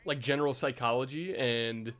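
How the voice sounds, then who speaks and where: A man's voice: mid-range voice, moderate pace, fairly bright tone. A young man vlogging in a casual, rambling way, full of fillers and self-deprecating asides.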